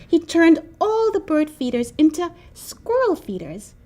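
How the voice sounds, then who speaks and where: A woman reading a children's picture book aloud with expressive, animated storytelling. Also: very high voice, a little slow. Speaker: storybook reader.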